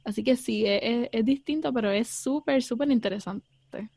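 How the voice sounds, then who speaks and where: Young puerto rican woman chatting away, kinda high voice, dry close sound, lots of "eh"s. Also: moderate pace, gravelly, dark tone, dry recording. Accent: Puerto Rican Spanish. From Puerto Rico. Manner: earnest, chatty, many fillers, mixes in English words. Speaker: theology student, interviewee. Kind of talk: casual interview answers.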